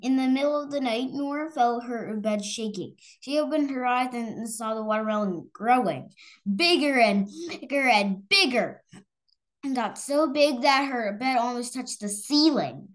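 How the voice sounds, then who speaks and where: Halting, higher-voiced woman reading a children's story. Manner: halting reading, stumbling over harder words. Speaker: storyteller reading a picture book aloud. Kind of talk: read-aloud children's story.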